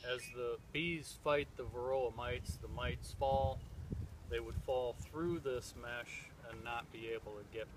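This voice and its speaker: Guy in his 30s showing his beehives outside in the woods, kinda far from the mic, lots of outdoor sound, slow methodical talk with uhs. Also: medium pitch, background noise. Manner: plain, methodical explaining with frequent "uh" pauses. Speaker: beekeeper vlogger. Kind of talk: casual demonstration. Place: outdoors in leafless spring woods. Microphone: far camera on a tripod. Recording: distant outdoor mic with clear background ambience.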